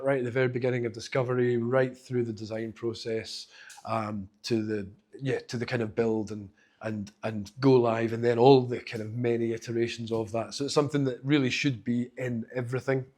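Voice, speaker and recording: A man with a Glaswegian accent speaks to a meetup crowd through a handheld mic. His voice sits at a middling pitch, and he talks in a loose, self-deprecating way that keeps restarting his sentences. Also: a little mellow. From Scotland. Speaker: conference speaker. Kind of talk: informal conference talk. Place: meetup venue.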